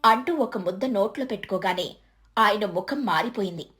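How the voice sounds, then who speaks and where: A woman's voice: medium pitch, animated, normal speed, fairly bright tone. A woman narrating a scripted moral story as its storyteller.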